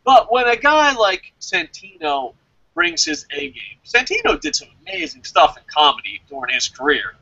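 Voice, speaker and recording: A man's voice: very high-pitched, wildly swooping pitch, normal speed, creaky, bright, forceful tone, lo-fi sound. A man chatting casually as a review show host, opinionated and emphatic, swearing freely and rambling as he goes.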